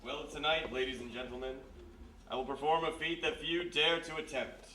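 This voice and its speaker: A man's voice: a little high, steady tone, normal speed, sharp tone. A man playing a grandiose, florid stage magician of the occult in solemn old-time radio mystery style. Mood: grandiose, mysterious. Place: live performance in a roomy historic house. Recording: echoey live stage, distant mic, hiss.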